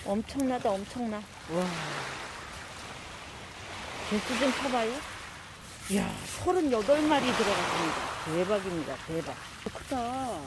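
A woman films from a beach and exclaims over a fishing catch, her voice at an ordinary middle pitch. Loud surf and wind noise keep roaring behind her. She talks casually and keeps breaking into excited exclamations. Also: measured pace. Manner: exclaims a lot, gushing. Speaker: vlogger filming a fishing trip. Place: sandy beach by the sea, outdoors.

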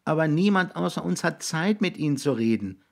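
A man's voice: a little high, expressive, brisk, vocal fry, pitched above the performer's own voice. A man voicing earnest young boys in an audiobook, solemn and patiently insistent. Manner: patient, gently insistent explaining.

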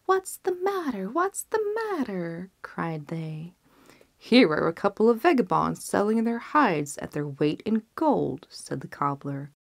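Slow young woman reading a fairy tale. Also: mid-range voice, very animated, vocal fry, no echo. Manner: acts out the characters' voices. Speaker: storybook reader. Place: small indoor set with a red curtain.

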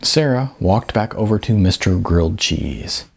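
A middle-aged man giving an expressive scripted narration, as in an audiobook. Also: low-pitched, a little slow, creaky, slightly rough. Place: small bright room indoors.

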